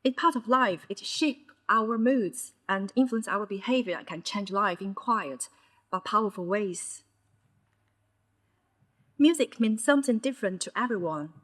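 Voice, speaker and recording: A woman's voice: fairly high pitch, fairly bright tone. Chinese woman w/ a bit of scouse in her accent, 30s, giving a talk in short careful phrases. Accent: Chinese with a Liverpool (Scouse) tinge. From mainland China. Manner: measured, phrase-by-phrase delivery with short pauses. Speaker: conference presenter. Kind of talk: personal storytelling talk.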